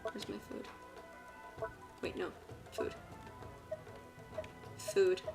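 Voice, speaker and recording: A woman giving casual live gameplay commentary, expressive and repeating phrases when she gets flustered. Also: very slow. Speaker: streamer. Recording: loud game music behind the voice. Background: video game music and effects.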